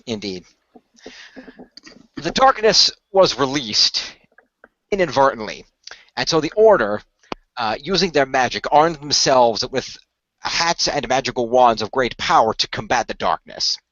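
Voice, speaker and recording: A man playing a courteous, earnest keeper of a magical secret in a radio play, speaking in a formal, measured storyteller's way. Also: mid-range voice, very animated, fairly bright tone, no echo, lo-fi sound. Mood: solemn storytelling.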